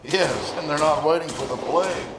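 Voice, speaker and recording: A man playing an anxious university chancellor facing doom in an old-time radio thriller, fretful and halting. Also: on the high side, natural voice. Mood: horrified. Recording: roomy live stage, faint noise.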